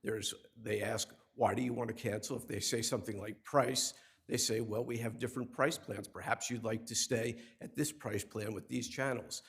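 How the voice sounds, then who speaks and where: A man's voice: mid-range voice, fairly even intonation, moderate pace, vocal fry, a bit bright, breathy edge. A man arguing an appeal before judges in a courtroom, speaking emphatically at a podium mic and often repeating words as he quotes case law. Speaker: appellate attorney. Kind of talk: oral argument.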